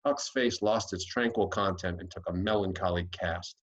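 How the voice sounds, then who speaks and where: A man's voice: deep voice, moderate pace, low-quality recording. An American man reading a classic storybook aloud as scripted narration. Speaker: audiobook reader.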